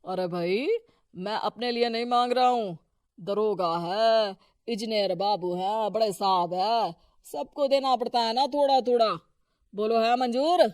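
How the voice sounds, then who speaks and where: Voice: medium pitch, a little slow, darkish tone, clean tone, dry recording, clean studio sound, close to an everyday voice. A performer playing a domineering, corrupt middle-aged male politician husband in a Hindi cartoon moral story, bossy and dismissive. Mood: sly, coaxing.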